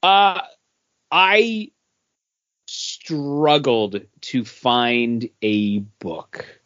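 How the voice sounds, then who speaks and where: A man chatting casually as a podcast host, halting and pausing mid-sentence. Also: medium pitch, a bit bright, dry recording, dull audio. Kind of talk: casual comic book review chat.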